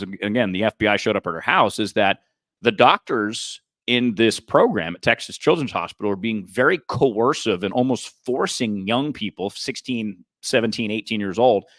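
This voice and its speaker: A man's voice: lowish voice, lively intonation, normal speed, a bit bright, no room sound, clean studio sound. A man co-hosting a podcast, explaining in an earnest, casual political-talk manner. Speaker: podcast co-host.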